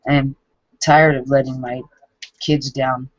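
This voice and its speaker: A man in his forties reading his own journal entries aloud, halting and self-critical, with frequent restarts. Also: mid-range voice, little pitch movement, no echo. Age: 40s. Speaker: vlogger. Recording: dull lo-fi dry recording.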